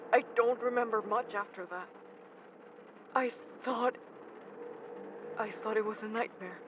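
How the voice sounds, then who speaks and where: An actor playing a haunted, guilt-ridden young person who speaks haltingly and stammers, in an audio drama. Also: mid-range voice, lightly acted. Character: Daniel, haunted guilt-ridden young man confessing childhood trauma. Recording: dull, noisy lo-fi recording.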